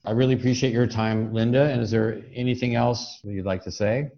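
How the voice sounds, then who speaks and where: A man's voice: mid-range voice, fairly even intonation, a little fast, gravelly, dark tone, highs cut off. A man hosting an interview podcast, speaking in an effusive, appreciative way. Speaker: podcast host. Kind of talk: interview chat.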